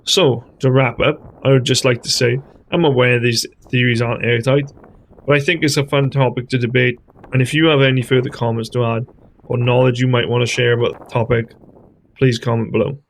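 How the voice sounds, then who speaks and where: Gravelly-voiced man narrating. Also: mid-range voice, level tone, a little hiss or hum, low-quality recording. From Wales. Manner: measured, explanatory, tentative about theories. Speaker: host of a history channel. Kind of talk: scripted voice-over narration.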